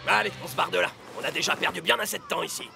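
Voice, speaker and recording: A skeptical young defender from a French cartoon dub. He grumbles bluntly in a high, gravelly voice that jumps around, with music behind. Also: very fast. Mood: scornful. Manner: blunt, colloquial.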